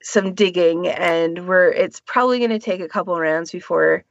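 Woman podcaster, dull close recording, lowish voice. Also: steady tone, moderate pace, vocal fry, dry recording. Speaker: podcast co-host. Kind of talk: casual podcast discussion. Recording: dull, lo-fi audio.

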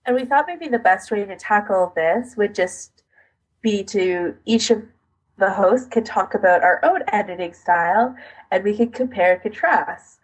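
A woman's voice: medium pitch, a little slow, slightly rough, low-quality recording. A woman co-hosting a podcast, explaining things in a casual, conversational way. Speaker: podcast co-host.

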